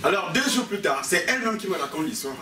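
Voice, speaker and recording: Echoey Cameroonian male preacher, fairly high-pitched. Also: big pitch swings, quick pace, over music. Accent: Cameroonian French. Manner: repeats phrases and calls for amens from the congregation. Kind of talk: animated sermon with personal anecdotes. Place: church meeting hall with a congregation. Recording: echoey hall, distant mic, congregation noise.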